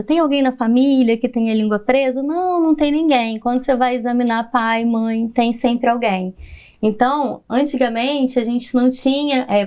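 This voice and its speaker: A woman's voice: fairly high pitch, a little mellow, smooth. A woman, a pediatric dentist explaining her work in a podcast interview, patiently and with frequent laughter.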